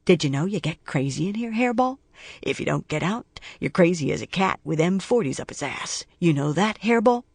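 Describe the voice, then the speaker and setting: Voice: medium pitch, lively intonation, normal speed, gravelly, husky, no room sound. A narrator voicing a menacing male death-row killer who taunts in a crude, mocking singsong and sneering whispers. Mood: taunting.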